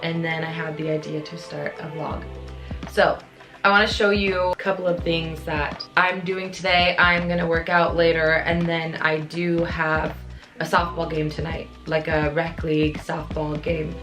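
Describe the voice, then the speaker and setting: Low-pitched raspy young woman, hoarse from a cold, rambling vlog chat at home, music playing behind. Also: a bit bright. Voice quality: deep raspy voice. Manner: chatty, rambling. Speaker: vlogger.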